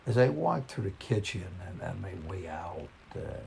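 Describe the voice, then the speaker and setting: A man reading a folksy first-person story in a halting, conversational way. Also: low voice, very animated, vocal fry, darkish tone, a little hiss or hum.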